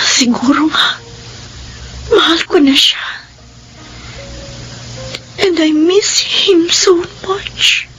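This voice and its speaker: A very high-voiced, emotional daughter in a radio drama. She draws her lines out slowly, tearful and remorseful, with music underneath. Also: pushed and bright, heavily acted, poor audio. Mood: tearful longing. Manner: slow, tearful, melodramatic delivery. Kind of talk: Filipino radio drama acting.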